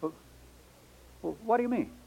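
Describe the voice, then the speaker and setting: A man's voice: very high voice, lively intonation, moderate pace, gravelly, dark tone, slightly rough, heavily acted. A man acting in a 1950s radio drama as a timid, honest older shopkeeper, hesitant and prone to stammering. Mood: panicked. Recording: muffled 1950s radio recording.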